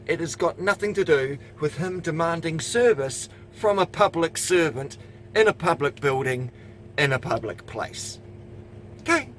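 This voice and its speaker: A man's voice: a little high, a little hiss or hum. Swearing New Zealand man lecturing police. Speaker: video channel host commenting. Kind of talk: angry commentary.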